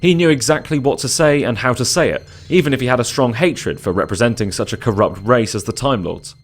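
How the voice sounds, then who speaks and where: A man's voice: medium pitch, a little fast, soft background music. A man narrating a scripted audio drama in a dramatic storytelling style.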